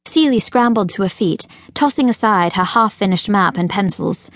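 A woman reading a children's fantasy audiobook as narrator, in a measured, expressive storytelling manner. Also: mid-range voice, no room sound, clean studio sound. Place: recording studio.

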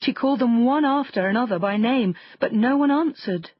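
A woman narrating a children's fairy tale audiobook in an expressive storytelling way. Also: on the high side, normal speed, husky, no echo, dull audio.

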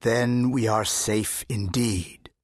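Slow, grave elderly duke. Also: male voice, fairly low pitch, fairly even intonation, no echo, studio quality. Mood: solemn warning. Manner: formal, measured, solemn. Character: grave, dignified older duke, cautious leader. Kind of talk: full-cast audiobook character acting.

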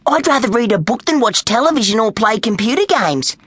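A woman's voice: on the high side, lively intonation, fairly quick, a bit bright, breathy edge, dry recording, voice pushed up. A woman voicing sly, falsely sweet young pig troublemakers in a children's storybook.

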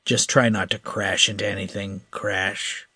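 A man doing a deep, wry starship captain. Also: measured pace, husky, no room sound, heavily acted. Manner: calm, dry, authoritative.

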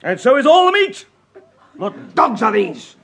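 An actor playing a blustering, tyrannical husband in a classic radio Shakespeare comedy, theatrical, swinging between roaring and mock-sweet coaxing. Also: male voice, extremely high pitch, very animated, a little slow, a bit bright, full character voice. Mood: raging bluster. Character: blustering, tyrannical husband, loud master taming his bride.

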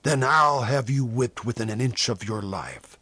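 A man's voice: on the high side, big pitch swings, unhurried, breathy edge, no room sound, heavily acted. A man voicing a grim, menacing religious elder. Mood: harsh threat. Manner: tense, harsh, commanding. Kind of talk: audiobook character dialogue in a western.

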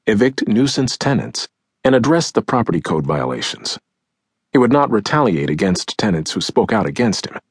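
A man narrating a nonfiction audiobook in a measured, deliberate, dramatic way, with a husky voice, recorded close in a dry studio. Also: on the low side, dry recording. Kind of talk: scripted nonfiction audiobook narration, acting out quotes.

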